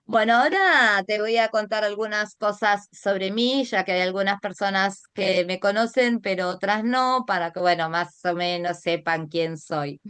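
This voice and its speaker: A woman's voice: mid-range voice, a little slow, few pauses, a bit bright, clean tone, no room sound. A middle-aged Argentine woman presenting an online art class as its teacher, guiding listeners directly and asking them rhetorical questions. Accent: Argentine Spanish.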